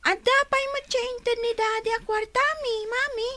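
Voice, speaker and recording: Woman acting as a very high, bright, whiny schoolgirl. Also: fairly even intonation, moderate pace. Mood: nagging. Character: whiny young schoolgirl begging her mother for money. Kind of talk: Ilocano radio comedy-drama acting.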